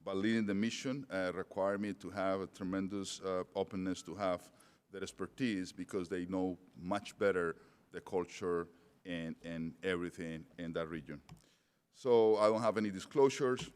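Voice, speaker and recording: A man's voice: low voice, steady tone, moderate pace, a little mellow, smooth. A middle-aged man with a non-native English accent, giving a conference talk, hesitant with many fillers and restarts. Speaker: conference speaker. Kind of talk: conference presentation. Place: large conference hall. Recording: long hall reverb.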